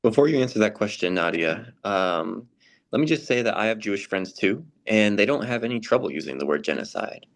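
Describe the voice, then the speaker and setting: A man reading the part of a thoughtful, courteous church member in a scripted readers theater discussion, measured and polite. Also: fairly low pitch, expressive. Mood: gently challenging. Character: thoughtful, courteous male church member probing issues of justice. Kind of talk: scripted dialogue read as casual discussion.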